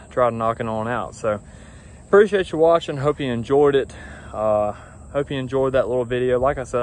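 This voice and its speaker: A young man, talking casually to a phone camera outdoors in a grassy yard, rambling and easygoing with lots of fillers. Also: mid-range voice. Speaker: lawn care business owner. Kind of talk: casual vlog talk to camera. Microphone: phone camera at arm's length.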